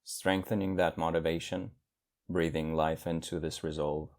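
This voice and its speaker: A man with a low-pitched voice leads a guided meditation. He speaks like a teacher, in measured phrases, and leaves generous pauses between one instruction and the next. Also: flat delivery, darkish tone.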